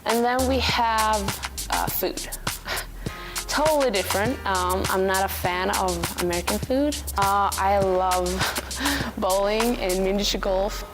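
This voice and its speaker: A woman's voice: mid-range voice, slow pace. A woman, talking casually as a student athlete in a profile interview. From Sweden. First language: Swedish. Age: 20s. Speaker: college soccer goalkeeper. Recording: clear music under the voice. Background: background music.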